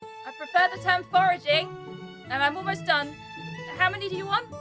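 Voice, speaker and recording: A woman's voice: extremely high pitch, normal speed. A woman playing a practical, protective knight bodyguard in an audio drama, casual and dryly matter-of-fact. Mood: indignant. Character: practical, wry female knight bodyguard, protective, grumbles amiably. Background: music.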